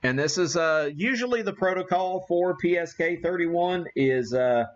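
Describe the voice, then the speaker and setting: A man's voice: sits high, normal speed, muffled recording. An American man hosting a casual live radio demonstration, thinking aloud in a folksy way. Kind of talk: casual live-stream chat while operating.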